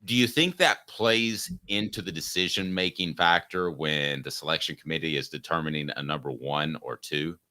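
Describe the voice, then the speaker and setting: Sports talk host, man, 50s, low voice with a bright edge, jokey and chatty. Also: normal speed, hardly any pauses. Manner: chatty, jokey, asks long questions.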